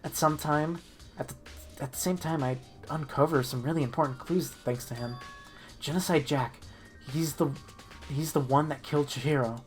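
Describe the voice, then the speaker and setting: A woman reading a visual novel game aloud in an amateur dramatic style, expressive and stumbling over her words. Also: low-pitched, moderate pace. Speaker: reader of the protagonist's lines in a group playthrough. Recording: music playing behind the voice. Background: game music.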